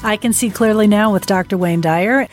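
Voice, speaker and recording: A woman's voice: medium pitch, normal speed, loud music behind the voice. A woman hosting a radio show in a studio, chatting casually and in a friendly way.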